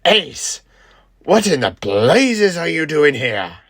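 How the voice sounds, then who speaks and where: An actor voicing a bluff, hearty pirate captain in an audio drama. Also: male voice, on the high side, sing-song, slow, fairly bright tone, no echo, clean studio sound, exaggerated voice. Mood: startled.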